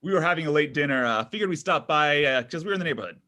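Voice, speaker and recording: A man's voice: a little high, expressive, very fast, sharp tone. An actor reading a needy, insecure boyfriend in his thirties in a conversational, hesitant table-read style. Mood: awkwardly casual. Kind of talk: comedy pilot table read.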